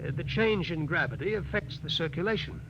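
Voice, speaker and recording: On a dull, noisy old recording, a male ship's doctor acted by a man talks in a high voice. He is thoughtful and opinionated, explaining in the conversational style of vintage radio drama. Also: lively intonation, a little fast, close to an everyday voice. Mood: thoughtful, concerned. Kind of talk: 1950s radio drama acting.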